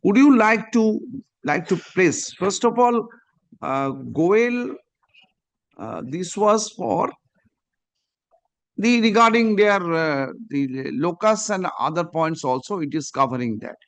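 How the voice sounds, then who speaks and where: A man's voice: high-pitched, expressive, slow. A man with an Indian Bengali accent speaking as a judge in a court hearing, insistent and prone to repeating his phrases. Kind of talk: courtroom exchange and reading from a note.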